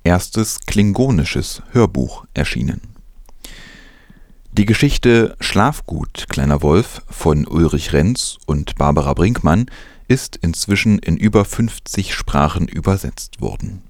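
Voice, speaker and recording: Deep-voiced man reading news. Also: lively intonation, takes it slowly, darkish tone, no echo. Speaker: news presenter. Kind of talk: scripted news narration.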